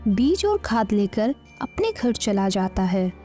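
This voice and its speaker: A woman's voice: mid-range voice, very animated, slow pace, mellow, soft background music. A woman narrating a scripted bedtime story.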